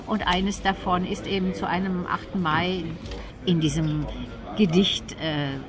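A woman speaking as an interview guest, explaining literature in a thoughtful, deliberate, articulate way. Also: fairly low pitch, little pitch movement, crowd noise.

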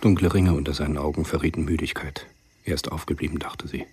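Radio drama narrator, man: bottom-of-the-range bass, very rough and breathy, scripted storytelling. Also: steady tone, quick pace, darkish tone. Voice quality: very deep, husky.

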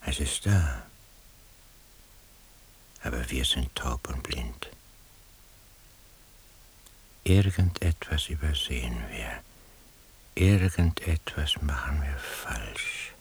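An actor playing an obsessive, troubled surveillance listener who doubts himself, ruminating in a breathy, half-whispered radio drama monologue. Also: male voice, very deep voice, lively intonation, slow pace, long pauses, a little hiss or hum, heavily acted. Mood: grave, troubled. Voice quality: very husky, breathy.

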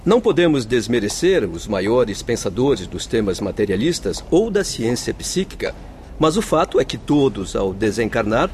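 A man's voice: medium pitch, sing-song, moderate pace, a little mellow, slight background noise, natural voice. An actor in a radio drama playing a kindly, humble male doctor, courteous, formal and reassuring.

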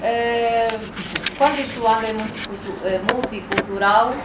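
Woman, forceful debater, higher voice, distant echoey noisy recording. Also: fairly even intonation, a little slow. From Angola. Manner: emphatic, argumentative, repeats words. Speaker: debate speaker. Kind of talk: public debate. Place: hall at a public debate. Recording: echoey room from far off, noisy.

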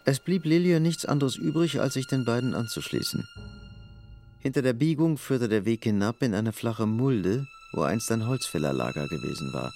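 A man's voice: medium pitch, expressive, moderate pace, gravelly, a little mellow, faint music behind. A man narrating a scripted German radio drama.

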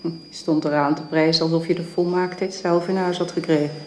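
Actress as a dark-voiced, bitter ailing wife. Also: female voice, fairly low pitch, fairly even intonation, brisk, lightly acted. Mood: suspicious, sarcastic. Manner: weary, curt, sarcastic. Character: bitter, jealous ailing wife in constant pain. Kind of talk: Dutch radio drama acting. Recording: roomy, hissy old radio recording.

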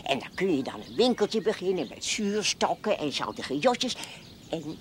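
Noisy old TV drama soundtrack, old country woman, folksy, blunt, wry, very animated delivery. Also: fairly low pitch, moderate pace. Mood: reminiscing. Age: older. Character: chatty, plain-spoken old spinster smallholder in a poor village. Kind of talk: television period drama acting.

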